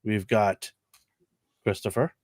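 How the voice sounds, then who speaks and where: A man with a raspy, under-the-weather voice hosting a casual panel chat. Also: on the low side, fairly even intonation, takes it slowly, a little mellow, no room sound, studio quality. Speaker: host.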